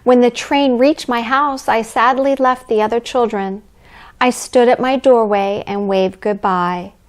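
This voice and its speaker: Woman playing Santa's kindly wife, storybook reading for children, gentle, expressive, very unhurried pace, lightly raised pitch. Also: lightly acted. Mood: gentle storytelling. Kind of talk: children's storybook reading, addressing young listeners.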